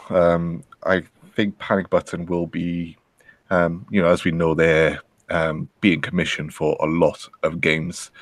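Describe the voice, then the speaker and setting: A man chatting casually as a podcast panelist, halting and repeating words as he goes. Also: sits low, no room sound. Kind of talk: casual chat.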